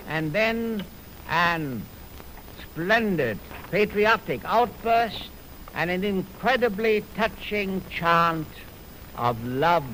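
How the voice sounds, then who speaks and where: Slow, lofty man on noisy 1920s radio. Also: fairly high pitch, a bit bright. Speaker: music commentator on a radio programme. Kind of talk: 1920s radio talk introducing orchestral music. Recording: noisy 1929 radio aircheck from optical film. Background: clear background noise.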